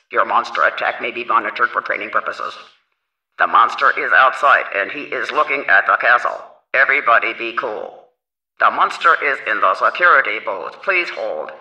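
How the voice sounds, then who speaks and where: A man playing a deadpan castle security guard making monster alerts over a filtered announcement system in an adventure game. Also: mid-range voice. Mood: deadpan dread. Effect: PA or radio filter. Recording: dull, echoing, distant radio-like announcement.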